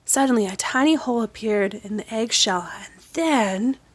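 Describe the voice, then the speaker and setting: A woman's voice: on the high side, animated, moderate pace, a little hiss or hum. A woman on a school staff reading a children's picture book aloud, acting out the animal sounds. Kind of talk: children's storybook read-aloud.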